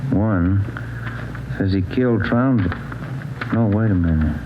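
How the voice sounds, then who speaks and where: An actor playing a plain-spoken police detective, the sergeant's partner, in a terse, conversational 1940s radio drama style. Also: male voice, fairly low pitch, unhurried, noisy background, lightly acted. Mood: puzzled, examining. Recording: muffled vintage radio, music behind.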